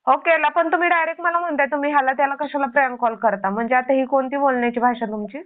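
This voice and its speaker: High-pitched indignant woman on the phone. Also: steady tone, quick pace. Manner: confrontational, firing indignant rhetorical questions. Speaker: prank-call video creator. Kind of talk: argumentative phone conversation. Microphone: phone call. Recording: narrow tinny phone-line sound.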